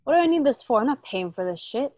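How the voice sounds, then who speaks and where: A man's voice: very high-pitched, very animated, fast talker, darkish tone, dry recording, clean studio sound, voice pushed up. A man voicing an angry, foul-mouthed gangster griping in a radio play. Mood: furious.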